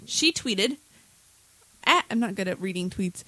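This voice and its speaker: A woman's voice: mid-range voice, expressive, unhurried, pushed and bright, no echo, poor audio. A woman co-hosting a talk show, going through news stories in an informal, wry, chatty way. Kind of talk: casual chat about news stories.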